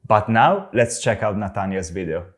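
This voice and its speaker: A man's voice: lowish voice, expressive, normal speed. A young man with an Italian accent, an Italian speaker and language teacher reacting to a video in casual, amused commentary. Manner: amused, chatty, laughs often.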